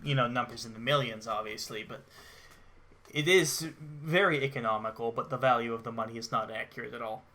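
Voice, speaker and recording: A man's voice: mid-range voice, fairly even intonation, brisk, bright, forceful tone. A man in his thirties giving casual gameplay commentary as a co-host. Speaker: co-host of gaming series.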